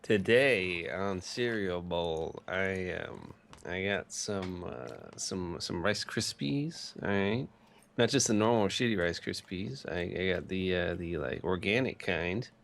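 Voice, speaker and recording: A man's voice: low-pitched, animated, takes it slowly, creaky, fairly bright tone. A young man telling stories casually as a podcast co-host, rambling and swearing crudely for comedy. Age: young adult.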